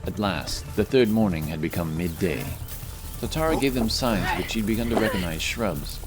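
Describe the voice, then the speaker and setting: Deep guy narrating a fantasy story, scripted, music playing underneath. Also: animated, normal speed.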